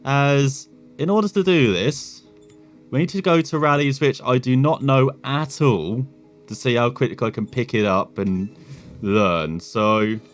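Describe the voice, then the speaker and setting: A man's voice: mid-range voice, measured pace, faint music behind. A man from England with an English accent, hosting a gaming channel with chatty, self-deprecating commentary full of rambling asides. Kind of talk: casual gameplay commentary.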